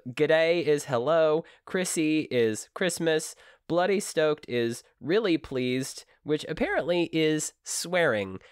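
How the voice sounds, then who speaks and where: A man's voice: a little high, animated, slow, few pauses, smooth, dry recording, studio quality. A man co-hosting a podcast, explaining things in a rambling, casual way with frequent restarts. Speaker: podcast co-host. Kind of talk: casual chat.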